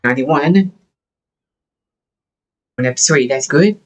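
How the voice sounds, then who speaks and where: A man's voice: fairly high pitch, slow. A man livestreaming a video game, casually muttering short comments to himself as he plays.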